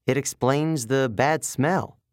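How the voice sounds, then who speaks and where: A man voicing a wary, defensive boy who is new at camp, pitched a little higher. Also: slow pace, no room sound, clean studio sound.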